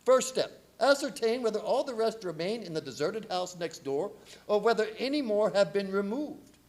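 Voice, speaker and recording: An actor playing a commanding vampire-hunting professor in a radio play, grave, formal and urgent. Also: male voice, high voice, expressive, moderate pace. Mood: grave, methodical. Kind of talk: live radio play acting.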